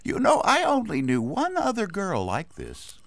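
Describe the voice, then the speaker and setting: A man's voice: high-pitched, wildly swooping pitch, unhurried, gravelly, dry recording, heavily acted. An actor playing a fond, teasing father in a Bible audio drama.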